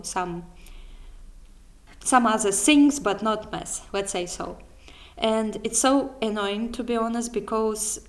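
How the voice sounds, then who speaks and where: Young woman vlogger, mid pitch, just chatting casually and correcting herself. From Kazakhstan. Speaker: vlogger, software developer. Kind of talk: casual chat to viewers.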